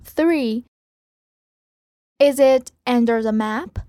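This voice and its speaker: A woman with a high voice reads items for a language listening test. She takes her time, enunciating each word carefully and deliberately, in a dry recording with no room sound. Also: mellow, no echo. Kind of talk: scripted language-test reading.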